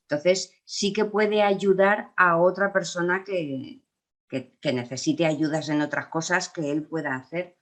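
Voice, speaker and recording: A woman with a Castilian Spanish accent leads an informal talk, explaining and taking questions. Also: on the low side. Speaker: speaker leading the talk.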